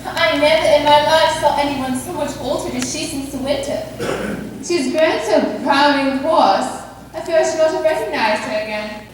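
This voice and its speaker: A woman's voice: high voice, moderate pace, close to an everyday voice. A woman in an amateur stage play, acting a jealous young society lady with an affected, arch, sweetly spiteful manner. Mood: catty. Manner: affected, arch, mock-genteel. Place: theatre stage, recorded from a distance. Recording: echoey hall, distant mic, faint noise.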